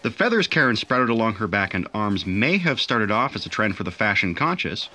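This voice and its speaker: Bright, animated wry narrator. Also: male voice, fairly low pitch, brisk, exaggerated voice. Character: wry male sci-fi storyteller. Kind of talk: scripted narration for audio theatre.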